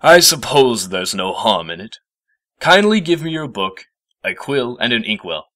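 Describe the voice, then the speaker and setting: Man putting on a pompous, forceful old unicorn professor. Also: medium pitch, sing-song, measured pace, pitched above the performer's own voice. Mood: curt, imperious. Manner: haughty, imperious, formal. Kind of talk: storybook character voice.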